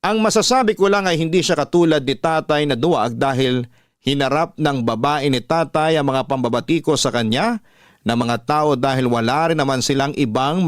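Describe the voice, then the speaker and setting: A man with a Filipino accent narrating a first-person story in a measured way as a radio drama host. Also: mid-range voice, dry recording, clean studio sound. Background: music.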